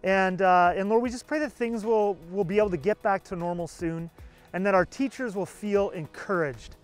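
Pastor, man in his forties, high bright voice, earnest and animated, outdoor lapel mic with faint music underneath. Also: normal speed. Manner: energetic, gesturing, earnest. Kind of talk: enthusiastic church video address. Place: outdoors beside a building.

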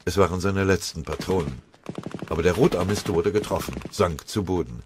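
Male audiobook narrator, low voice, dramatic scripted war narration, light battle noise underneath. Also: normal speed.

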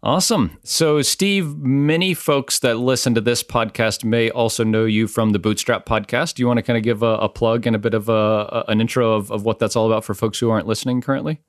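A man's voice: mid-range voice, fairly even intonation, fairly quick, hardly any pauses, no echo, studio quality. A man hosting a podcast, chatting casually with a guest and often restarting his sentences. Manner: chatty, lots of fillers and restarts. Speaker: podcast host. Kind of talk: casual conversational interview. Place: home in a small village.

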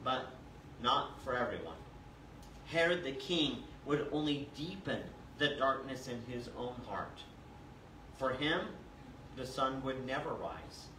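An older man preaching a sermon as a pastor, reading scripture and explaining it in a measured, emphatic way. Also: medium pitch, lively intonation, sharp tone. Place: small church sanctuary, indoors. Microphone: far camera. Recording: roomy, distant mic, faint noise.

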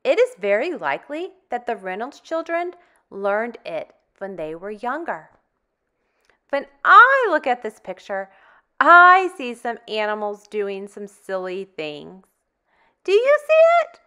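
A woman in her thirties hosting a read-aloud for preschoolers, with sing-song, exaggerated, animated enunciation. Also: fairly high pitch, unhurried, clear, resonant tone. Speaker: storytime host for young children.

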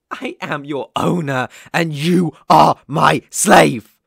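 A slow, gravelly male yandere kidnapper, acted out by a man in an intimate ASMR roleplay. He is possessive and sadistic, taunting his captive with mock sweetness and menace, recorded close and dry. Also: fairly high pitch, fairly bright tone, no room sound, heavily acted. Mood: furious.

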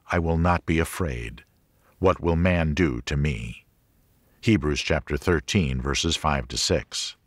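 Deep-voiced male audiobook narrator. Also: no echo, studio quality. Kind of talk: scripted narration of a religious audiobook.

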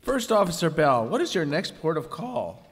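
Man playing fussy tourist, higher voice. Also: big pitch swings, normal speed, slight background noise. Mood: doubtful. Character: fussy tourist doting on a pet donkey. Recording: echoey hall.

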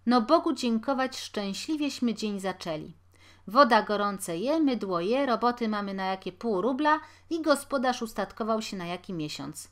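A woman reading an audiobook, voicing a poor, harried washerwoman and mother who talks loudly and laments. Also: animated, moderate pace, close to an everyday voice. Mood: fretful. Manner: loud, high, lamenting and scolding. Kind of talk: audiobook character voice.

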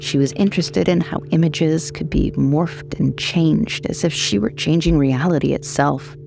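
A woman's voice: sits low, a little slow, gravelly, a little mellow. A woman narrating scripted horror fiction in a dramatic, wry storytelling manner. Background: faint music.

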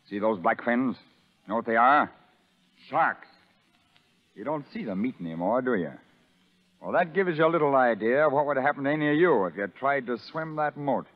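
An actor playing a sadistic, vengeful prison sergeant who taunts and barks orders in a 1930s radio drama. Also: male voice, mid-range voice, lively intonation, moderate pace, pauses often, close to an everyday voice. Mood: gloating, taunting. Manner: menacing, taunting, barking orders. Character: sadistic, vengeful male prison sergeant bullying new convicts. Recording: narrow muffled 1930s radio broadcast, reverberant.

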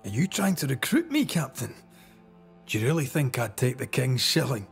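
Firm, resolute ghost hunter. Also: male voice, mid-range voice, big pitch swings, moderate pace, gravelly, soft background music. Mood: wry defiance. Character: resolute, principled male ghost hunter, challenging and earnest. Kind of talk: dramatic video game acting.

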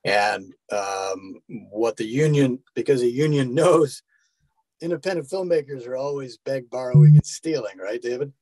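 Male actor-director guest, middle-aged, mid pitch, earnest informal interview talk, very dry sound. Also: moderate pace, dark tone, dry recording, low-quality recording. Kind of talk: casual interview, explaining.

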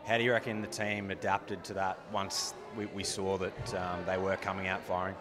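A man's voice: sits low, flat delivery, normal speed, over music. An Australian man working as a sports interviewer, asking casual post-match questions. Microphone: handheld interview microphone.

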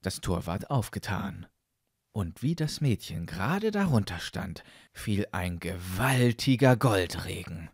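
Slow, animated male fairytale storyteller. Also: sits low, breathy edge, no room sound, clean studio sound. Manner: expressive, deliberate. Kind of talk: fairytale audiobook narration.

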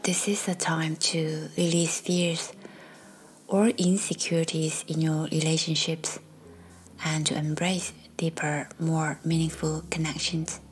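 A woman guiding a meditation in a soft, breathy, half-whispered voice, speaking gently in measured short phrases with long pauses. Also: deep voice, little pitch movement, low-quality recording. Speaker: meditation guide. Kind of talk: guided meditation, slow scripted narration. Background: faint background music.

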